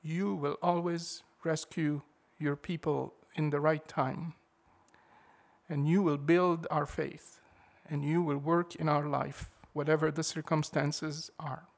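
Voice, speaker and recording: A man preaching a sermon in a measured, emphatic way, leaning hard on key words. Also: on the high side, flat delivery, creaky.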